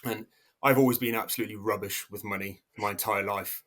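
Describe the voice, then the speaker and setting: A man in his thirties with an English accent speaks in a fairly low voice. He talks as a podcast guest, casual and hesitant, often restarting his sentences and filling pauses. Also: steady tone, a bit bright. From South Africa. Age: 30s. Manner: hesitant, many restarts and fillers. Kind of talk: casual interview talk.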